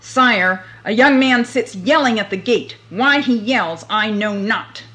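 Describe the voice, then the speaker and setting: A woman voicing a dutiful palace guard who reports in a loud, formal way. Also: a little high, animated, measured pace.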